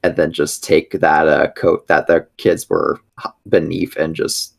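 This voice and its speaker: A man's voice: extremely low pitch, little pitch movement, measured pace, gravelly. A man playing a tabletop game, chatting casually and hesitantly, often restarting his sentences. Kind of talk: casual improvised game chat.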